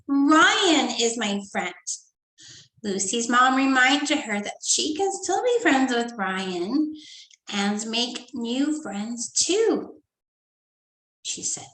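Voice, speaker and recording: A woman teacher reading a storybook aloud to first graders in a sing-song, animated way, often dropping to soft whispered asides. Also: high-pitched. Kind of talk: storybook read-aloud lesson for young children. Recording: echoey room.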